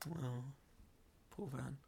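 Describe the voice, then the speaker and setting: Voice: mid-range voice, extremely slow, gravelly, darkish tone, breathy edge. A person playing the straight role in comic banter, teasing and often puzzled.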